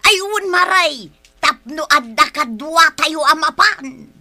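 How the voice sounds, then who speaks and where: A woman playing an animated village livestock raiser in a comedy drama, with an Ilocano accent and exclamatory, drawn-out interjections. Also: sits high, no echo, heavily acted. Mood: eager. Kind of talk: comedic barangay radio drama acting.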